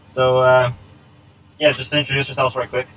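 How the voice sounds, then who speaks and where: A man's voice: medium pitch, level tone, moderate pace, fairly bright tone, soft background music, low-quality recording. A man in his twenties co-hosting a casual podcast, rambling with plenty of fillers and laughs. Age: 20s. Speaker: podcast co-host. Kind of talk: casual chat. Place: small home setup. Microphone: laptop recording.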